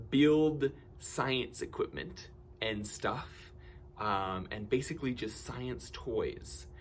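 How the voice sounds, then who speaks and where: A man telling personal stories in an animated, theatrical way, acting out bits of dialogue with excitement. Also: mid-range voice, slight background noise. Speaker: camp storyteller and science educator.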